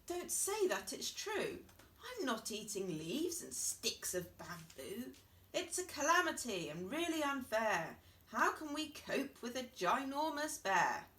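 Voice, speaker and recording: A woman's voice: a little high, very animated, takes it slowly, pushed and bright, far from the mic, a little hiss or hum, heavily acted. A woman voicing an anxious, easily upset mother hen in a children's story, exclaiming dramatically and fretfully. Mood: aghast.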